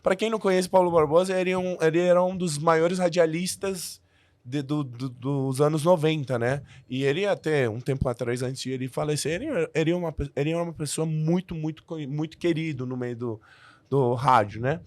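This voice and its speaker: A man's voice: a little high, brisk, a little mellow, no room sound. A man who learned the language as an adult, a podcast guest telling his story in a casual way with many restarts and fillers.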